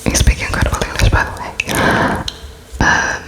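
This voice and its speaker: A person speaking in a breathy, unvoiced whisper, hesitant and gently polite, playing an interviewer in an ASMR roleplay. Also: normal speed.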